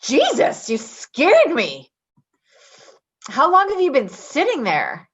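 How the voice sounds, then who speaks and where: A woman playing an evasive, manipulative caregiver in a readers theater drama, halting and repeating her words. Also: high voice, huge pitch swings, dull audio, exaggerated voice. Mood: startled, defensive. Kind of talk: readers theater stage acting.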